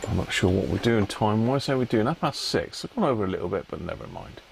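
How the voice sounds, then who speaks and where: A man's voice: fairly low pitch, very animated, fast, creaky, slight background noise. A British man hosting a livestream, chatting casually and colloquially as he thinks aloud. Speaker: livestream host. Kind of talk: casual rambling chat to live viewers.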